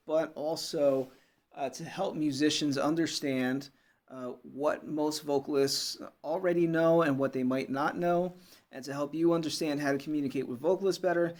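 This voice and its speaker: A man teaching a relaxed music lesson, explaining step by step with many pauses and checking in with "okay?" as he goes. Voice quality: fairly low voice. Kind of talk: unscripted video lesson.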